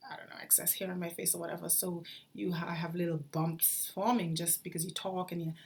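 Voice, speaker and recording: A woman's voice: on the low side, level tone, a little fast, gravelly, a little mellow. A woman physician talking casually to camera, reasoning aloud in an earnest, puzzled way and tagging her points with "you know" and "right?". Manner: conversational, many "you know" and "right?" tags. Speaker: infectious diseases physician. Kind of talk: casual spoken commentary to camera.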